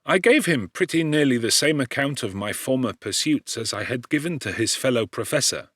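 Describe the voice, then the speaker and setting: A man reading literary narration for an audiobook in a measured, formal and dramatic manner. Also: mid-range voice, lively intonation, fairly bright tone, slightly rough, no echo, clean studio sound.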